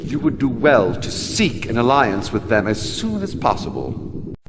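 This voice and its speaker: A sly, condescending court adviser speaks slowly, with pitch that rises and dips dramatically. He is haughty and menacing, a theatrical game villain, in long reverb with music behind him. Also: big pitch swings, background noise. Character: sly, condescending male mentat adviser, servile to his lord. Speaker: adviser briefing a new military commander. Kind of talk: theatrical video game cutscene acting.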